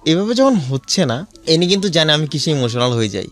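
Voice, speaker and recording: A Bangladeshi man telling a personal story conversationally, haltingly and with repeated phrases, often checking that he is followed. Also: on the high side, sing-song, a bit bright. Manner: repetitive, halting telling with frequent check-in questions. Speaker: guest telling his life story. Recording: music behind the voice. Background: background music.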